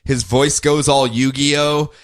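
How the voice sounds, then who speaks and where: A man's voice: mid-range voice, fairly even intonation, slow pace, no room sound. Dry-sounding joking podcast host man. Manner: jokey, puts on silly voices for characters mid-recap. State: groggy from medicine. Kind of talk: casual comic book recap chat.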